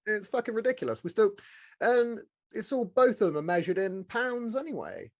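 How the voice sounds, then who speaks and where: Man, fairly high voice, podcast host, casual, blokey, excitable, swearing freely, dry sound. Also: normal speed, dry recording. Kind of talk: casual chat. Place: small studio room.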